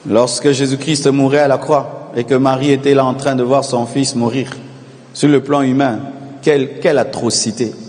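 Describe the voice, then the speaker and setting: A male pastor preaching a sermon, calling on the congregation to repeat after him and swelling into shouted refrains. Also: mid-range voice, flat delivery, moderate pace, big-room sound. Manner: preaching with call-and-response, rising to shouted repetitions.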